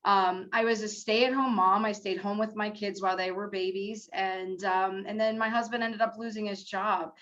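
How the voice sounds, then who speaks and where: A middle-aged woman on a career panel, telling her path earnestly with many fillers and pauses. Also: medium pitch, steady tone, fairly bright tone, highs cut off. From South Florida. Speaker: school district HR manager, panelist.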